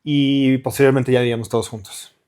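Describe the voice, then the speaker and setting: A man's voice: medium pitch, steady tone, a little fast, a little mellow, clean tone. A middle-aged man from Mexico City with a Mexican accent, a guest musician chatting casually in an interview and rambling through restarts and fillers. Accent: Mexican Spanish.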